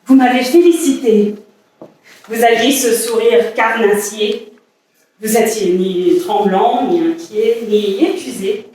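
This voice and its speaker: An actress playing a lovesick, obsessive woman, delivering a poetic and halting theatrical monologue in a staged reading. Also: a little high, darkish tone, close to an everyday voice. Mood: reproachful. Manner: dramatic, halting, incantatory delivery with repetitions. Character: lovesick woman consumed by dangerous obsession, anguished, insistent. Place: staged reading in a reverberant indoor space. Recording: very echoey room, far from mic.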